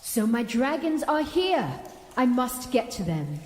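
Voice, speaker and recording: Woman as a mellow-voiced, solemn dragon queen. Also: on the high side, big pitch swings. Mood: determined, skeptical. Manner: formal, solemn, deliberate. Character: proud, stern mother of dragons, captive queen. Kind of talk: comedic audio drama acting. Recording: echoey, long reverb.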